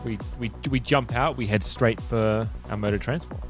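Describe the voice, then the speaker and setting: A man's voice: medium pitch, normal speed, soft background music. A man playing a tabletop role-playing game, chatting casually and describing scenes for his character. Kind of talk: casual in-game talk and roleplay.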